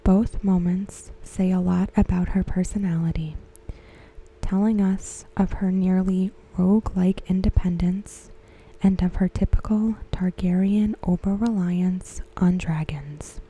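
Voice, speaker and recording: A woman softly narrating a scripted ASMR recap in a gentle, measured way, with a breathy, whispery voice. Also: lowish voice, fairly even intonation, rough recording. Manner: gentle, measured, carefully phrased. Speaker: ASMR host reviewing a TV episode.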